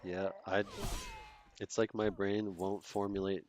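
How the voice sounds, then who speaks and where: A man's voice: sits low, level tone, a little slow, darkish tone. A man with a Toronto Canadian accent, chatting in a laid-back way as he streams a game and answers his chat. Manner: laid-back, chatty, trails off mid-sentence. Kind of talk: casual chat while gaming, reading chat.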